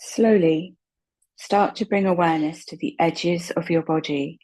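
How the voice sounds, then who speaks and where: A woman with a low-pitched, husky voice guides a relaxation session. She speaks softly and gently, leaving long pauses between her calm instructions. Her tone is breathy and never hurried. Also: low-quality recording. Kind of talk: slow guided meditation, soothing instruction.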